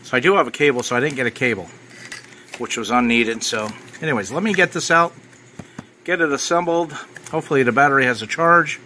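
A man's voice: medium pitch, a little fast, pushed and bright, a little hiss or hum. A man giving casual, off-the-cuff unboxing commentary as a product reviewer. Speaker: ham radio reviewer.

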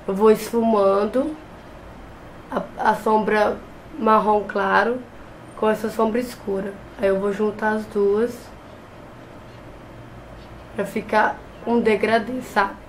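A woman's voice: medium pitch, fairly even intonation, takes it slowly, long pauses, slight background noise, rough recording. A young woman with a cold, chatting her way through a makeup tutorial in her bedroom, talking close to the camera and often restarting words. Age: young adult. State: has a cold. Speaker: beauty vlogger. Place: small bedroom with shelves and a clothes rack. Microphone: camera close in front.

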